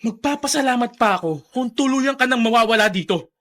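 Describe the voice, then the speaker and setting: Bitter, high-voiced defiant young son. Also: male voice, expressive, brisk, fairly bright tone. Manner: defiant, biting, dramatic. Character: bitter, resentful young son scorning his father. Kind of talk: Filipino radio drama acting.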